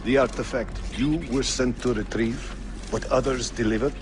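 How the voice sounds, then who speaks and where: A man's voice: medium pitch, steady tone, moderate pace, close to an everyday voice. An actor playing a proud, resentful male assassin, an accusing rival who speaks with indignant, theatrical emphasis in a video game cutscene. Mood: suspicious. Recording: loud music under the dialogue.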